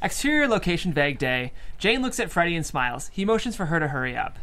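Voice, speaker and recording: A woman's voice: on the low side, very animated, fast, fairly bright tone. A woman hosting a table read, reading stage directions and chatting casually and enthusiastically. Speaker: host and stage-direction reader.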